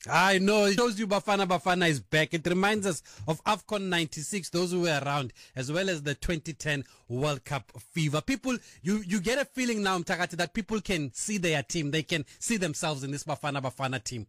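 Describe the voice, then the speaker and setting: Lively radio sports host. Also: male voice, sits high, fairly quick, few pauses, no echo, clean studio sound. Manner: lively, laughs easily. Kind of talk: radio interview.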